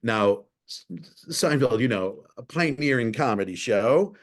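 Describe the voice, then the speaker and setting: A man's voice: mid-range voice, expressive, measured pace. A male professor giving a lecture in a thoughtful way, often repeating and restarting words.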